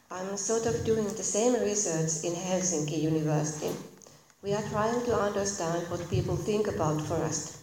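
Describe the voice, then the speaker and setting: A woman from Finland with a Finnish accent and a soft voice, giving an informal lecture as a guest speaker in a hesitant, halting way. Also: lowish voice. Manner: hesitant, halting delivery with self-corrections. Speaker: guest speaker, visiting academic. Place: echoey indoor room. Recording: very echoey room, clear background noise.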